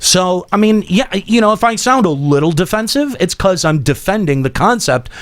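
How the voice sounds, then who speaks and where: A man's voice: high voice, animated, moderate pace. A man hosting a casual talk show, speaking in an emphatic, blunt, opinionated way that readily turns profane. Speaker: podcast host.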